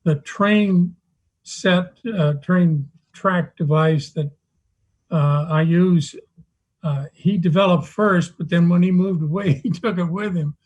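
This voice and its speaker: A man reminiscing casually in a halting, hesitant way over a video call. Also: high voice, darkish tone. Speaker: club member. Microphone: video call microphone. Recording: dull lo-fi video call audio.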